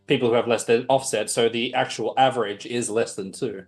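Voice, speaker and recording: A man's voice: mid-range voice, steady tone, a little fast, soft background music. A man playing a tabletop roleplaying game, talking casually in a wordy, dryly pedantic way. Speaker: player.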